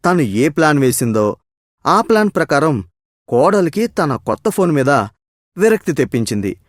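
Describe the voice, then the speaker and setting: Lively male moral-story narrator. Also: a little high, moderate pace, dry recording, studio quality.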